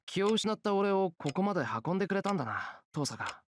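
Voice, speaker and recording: A man's voice: high-pitched, big pitch swings, rapid-fire, slight background noise. A man playing an earnest, bewildered teenage schoolboy hero in a Japanese visual novel. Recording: background music under game dialogue.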